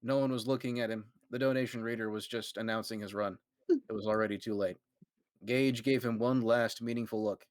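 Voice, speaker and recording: Brief: man, mid-pitched, reading fan fiction to friends on stream, casual and conversational, dry close mic. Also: fairly even intonation, no room sound. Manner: quick, conversational reading, laughing asides. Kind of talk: read-aloud on a live stream with friends.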